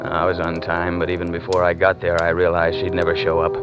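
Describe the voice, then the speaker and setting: Man doing sardonic tough guy narrator, clipped talk, deepish, lo-fi old radio play. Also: completely flat delivery, brisk, lightly acted. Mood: narrating. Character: tough wisecracking young man called Speed. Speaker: hard-boiled narrator and lead. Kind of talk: 1940s radio acting. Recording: dull old radio broadcast with music.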